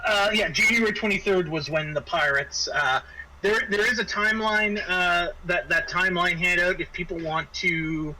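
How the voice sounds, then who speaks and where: Guy gming an online rpg, bright voice, kinda stop-start, bit of noise behind. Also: high-pitched, normal speed, poor audio. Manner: hesitant, stop-start explaining. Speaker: guest game master. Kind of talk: casual online tabletop game running. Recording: faint background noise.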